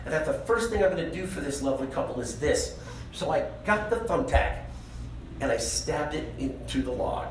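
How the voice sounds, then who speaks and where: A man tells a funny story live on stage, conversational and animated, poking fun at himself. His voice rings in an echoey room, with some background noise around it. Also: mid-range voice, moderate pace. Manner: animated, conversational, self-deprecating. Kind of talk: comedic stage storytelling to an audience.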